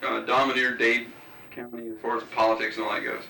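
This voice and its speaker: Fast-talking gravelly young man interviewer. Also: mid-range voice, level tone, pushed and bright. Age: 20s. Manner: quick, halting, full of restarts. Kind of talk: casual oral-history interview. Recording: roomy, distant, hissy tape recording.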